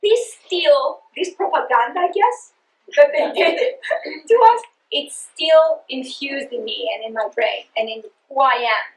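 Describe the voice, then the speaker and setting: A middle-aged woman with a Greek accent speaks to a room of teachers, earnest and passionate, often restarting her phrases. Her voice sits a little high and rings in an echoey meeting room. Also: expressive, measured pace, gravelly. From Greece. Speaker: literacy presenter. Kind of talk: professional development talk to teachers.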